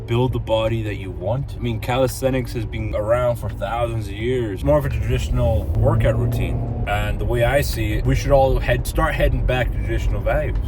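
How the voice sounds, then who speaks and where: A man who is losing his voice, giving a casual, motivational vlog to camera from his car on the way to work. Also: mid-range voice. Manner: motivational, direct. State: slightly hoarse, losing his voice. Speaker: fitness vlogger. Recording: music bed and road noise.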